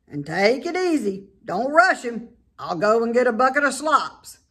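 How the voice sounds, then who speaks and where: Lady reading as an old farmer guy from a kids book, calling out kinda high, bossy but coaxing. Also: sing-song, moderate pace, bright, forceful tone, breathy edge, heavily acted. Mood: commanding. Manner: calling out firm, simple orders.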